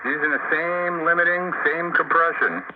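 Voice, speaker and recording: A man's voice: fairly high pitch, moderate pace. Man hosting over a noisy, muffled radio. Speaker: amateur radio net host. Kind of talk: casual radio chat.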